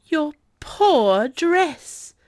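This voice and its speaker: A woman voicing a chatty, excitable young woman in a storybook, gushing as she talks. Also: on the high side, moderate pace, no room sound, clean studio sound, exaggerated voice. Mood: excited gushing.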